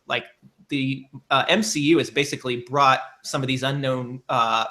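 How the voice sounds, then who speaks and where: Bright-voiced man in casual podcast chat. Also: medium pitch. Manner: rambling, many fillers and restarts.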